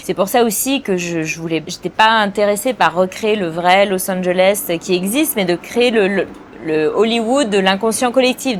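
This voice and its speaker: A woman in her forties speaks at a middle pitch, thinking aloud as she answers. She pauses often, restarts her phrases and fills gaps while she searches for words. A faint hum of ambience sits behind her. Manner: thoughtful, hesitant, frequent restarts and fillers. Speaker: film director. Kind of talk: interview answers.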